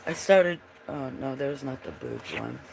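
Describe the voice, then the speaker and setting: A man with a froggy, gravelly voice talks slowly and loosely, drifting in and out as he turns away from the mic. A faint hiss sits under the home recording. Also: medium pitch, wildly swooping pitch, poor audio. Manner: slow, meandering, trailing off while searching. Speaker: livestream host showing his drawings. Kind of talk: casual rambling commentary.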